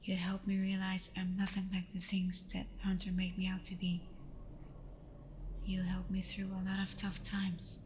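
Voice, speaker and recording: A woman playing a tender, playful vampire girlfriend in an intimate ASMR roleplay, speaking softly and often slipping into whispers with hesitant pauses. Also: medium pitch, completely flat delivery, slight background noise, close to an everyday voice. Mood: tender, earnest. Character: tender female vampire girlfriend, reassuring, playful, a little vulnerable.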